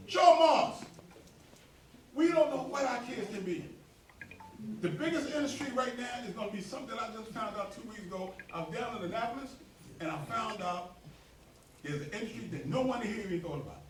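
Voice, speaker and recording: A high-voiced man leads a community meeting in a roomy hall, urging the crowd with emphatic, rising repetition. He sounds a little off the mic, with a faint hum of the room behind him. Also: animated, brisk, pauses often, far from the mic. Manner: emphatic, preacher-like, rising to near shouts. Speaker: association president hosting a meeting. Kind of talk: animated speech to a community meeting.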